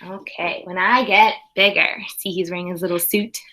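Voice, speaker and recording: A woman's voice: lowish voice, fairly even intonation, moderate pace, pushed and bright, rough recording. A woman teaching young children, reading a storybook aloud expressively and chatting to them in conversational asides. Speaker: early primary school teacher.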